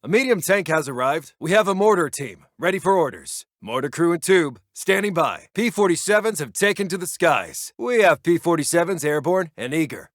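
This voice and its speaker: An actor playing a businesslike American military intelligence officer, giving clipped, official unit reports in a strategy game. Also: male voice, on the high side, fairly bright tone, dry recording, clean studio sound.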